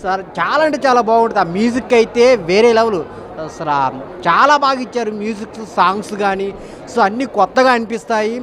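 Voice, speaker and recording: A man's voice: sits high, fast, fairly bright tone. A man giving a casual public review to camera as a moviegoer.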